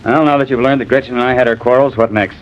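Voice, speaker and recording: An actor playing a troubled, tender father in a vintage radio drama, speaking in a halting, pleading way. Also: medium pitch, steady tone, faint music behind, natural voice. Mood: defensive, agitated. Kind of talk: 1950s radio drama acting. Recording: muffled, noisy old radio recording.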